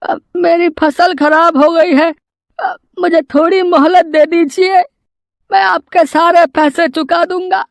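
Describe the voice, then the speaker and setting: Lady doing a poor village farmer guy for a cartoon story, really high, anxious n deferential. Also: steady tone, slow, no echo, clean studio sound, heavily acted. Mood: pleading. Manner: pleading, hesitant, deferential. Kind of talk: animated moral story dialogue.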